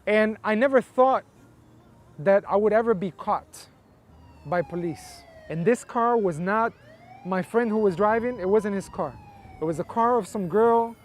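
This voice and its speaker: A man from Colombia, interviewed, telling his life story in a reflective, casual way, often using "like" as a filler. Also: sits high. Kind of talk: personal testimony, casual storytelling.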